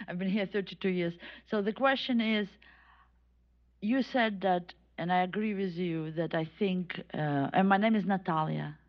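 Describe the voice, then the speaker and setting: A woman's voice: fairly low pitch, gravelly. A woman with a Russian accent asking a panel a question from the audience, earnest and rambling. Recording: dull lo-fi audio.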